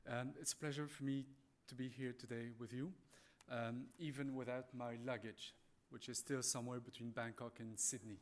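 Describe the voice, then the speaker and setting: Man, French accent, mid-pitched voice, conference presentation, hesitant and courteous delivery. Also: little pitch movement. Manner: halting, polite and apologetic. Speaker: conference speaker, animal welfare manager.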